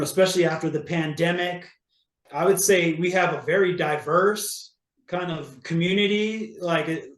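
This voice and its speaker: A man's voice: on the high side, roomy sound. A man from Hawaii, a real estate agent, talking casually as an interview guest, with many fillers and restarts.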